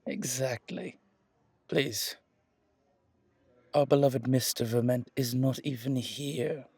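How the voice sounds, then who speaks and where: Man playing a gravelly, flirtatious museum guide. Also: mid-range voice, slow pace, darkish tone, dry recording. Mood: chatty, informative. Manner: chatty, hesitant asides, emphatic repetitions, genteel. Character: charming, flirtatious male museum guide in a supernatural town. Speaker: character performer in an audio roleplay. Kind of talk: intimate ASMR roleplay monologue, conversational.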